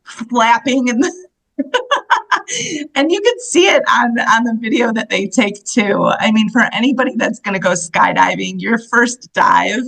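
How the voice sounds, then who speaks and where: A woman in her fifties from the United States, a guest telling stories in a casual interview, animated and laughing often. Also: a little high, a little slow, creaky. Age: 50s. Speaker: guest, solo travel coach.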